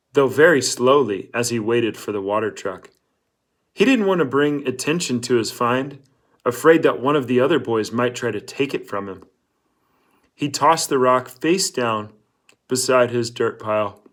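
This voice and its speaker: A man narrating a children's novel in a read-aloud storytelling style. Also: medium pitch.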